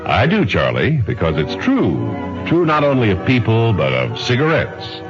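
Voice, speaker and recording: A man's voice: fairly low pitch, wildly swooping pitch, normal speed. A man announcing and reading advertising copy on 1950s radio. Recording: muffled 1950s radio with faint music.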